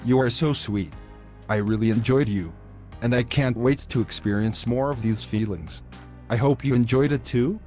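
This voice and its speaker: A man's voice: mid-range voice, moderate pace, a little mellow, faint music behind, lightly acted. A man playing a pushy, selfish young boyfriend in a scripted moral story, speaking in formal, wordy, insistent phrases. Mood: sweet-talking.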